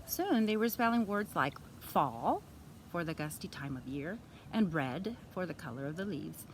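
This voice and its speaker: Lady reading a picture book to kids, animated and deliberate, noisy background. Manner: animated, deliberate teacherly phrasing. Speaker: storytime reader. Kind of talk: expressive children's story read-aloud. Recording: clear background noise, vehicle rumble. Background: background noise.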